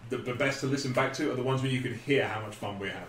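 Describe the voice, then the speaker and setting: A man's voice: mid-range voice, racing. A man hosting a podcast in a casual, chatty style. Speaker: podcast host. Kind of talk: casual chat. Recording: roomy echo with background noise.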